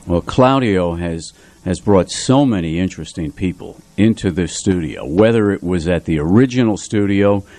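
A man hosting a casual radio studio conversation, speaking in a hesitant, halting way close to the microphone. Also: low voice, lively intonation, a little mellow, no room sound. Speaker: radio show host.

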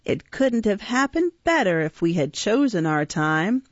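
A woman's voice: medium pitch, very animated, unhurried, no echo. A woman reading an audiobook, voicing a sly old male thief master who talks in a fawning, mock-humble, oily way, on a dull, dry recording. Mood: gloating.

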